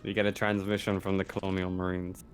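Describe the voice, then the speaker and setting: A man's voice: sits low, completely flat delivery, fast. A man casually running a tabletop roleplaying game as game master. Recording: clear background noise.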